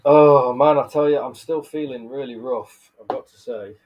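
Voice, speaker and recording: A man's voice: mid-range voice, steady tone, normal speed, lo-fi sound. A man in his thirties with a blocked-up, nasal voice from illness, rambling casually to livestream viewers and laughing often. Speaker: live streamer and bug keeper. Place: bug room, indoors.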